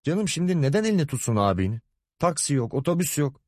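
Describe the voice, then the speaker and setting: A man's voice: on the high side, very animated, quick pace, darkish tone, no echo, clean studio sound, voice pushed up. A man voicing a proud, hot-tempered husband and father, stern and exasperated, with indignant warnings. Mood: dismissive.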